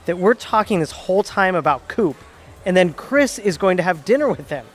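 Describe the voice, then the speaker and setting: A man playing an uneasy, hesitant law-firm employee in an audio drama, speaking haltingly. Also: sits high, lively intonation, soft background music. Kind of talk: audio theatre acting.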